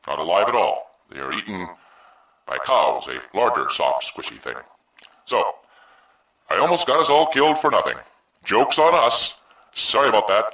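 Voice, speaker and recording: A blustering cartoon game overlord in a very deep, wildly swinging voice. It rings in an echoey space. He is grandiose but insecure, faltering sheepishly. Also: pushed and bright, exaggerated voice. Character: blustering, bumbling, insecure male leader of cube creatures. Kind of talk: comic game cutscene speech. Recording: echoey, distant from the mic.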